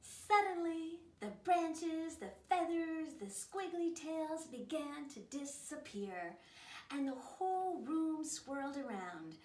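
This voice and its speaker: A woman reading a children's picture book aloud as a storyteller, theatrical, with dramatic pauses and emphasis. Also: high voice, expressive, darkish tone. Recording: echoey room, distant mic, noisy.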